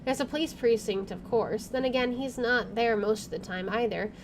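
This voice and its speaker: A woman with a fairly high voice narrates a visual novel as a gaming host. Her reading is expressive and story-like. A faint bed of game ambience runs behind her. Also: normal speed, noisy background.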